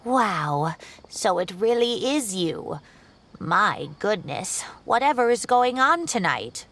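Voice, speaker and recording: A woman's voice: medium pitch, big pitch swings, takes it slowly, a bit bright, exaggerated voice. A woman voicing an older, kindly, fussy female professor in a video game, talking in a chatty way. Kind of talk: game voice acting.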